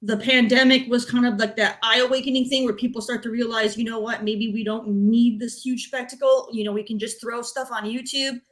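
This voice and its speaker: A woman's voice: on the high side, level tone, fairly quick, hardly any pauses, a bit bright. A woman speaking informally as a panelist on a gaming talk show, giving opinions in a blunt, emphatic way.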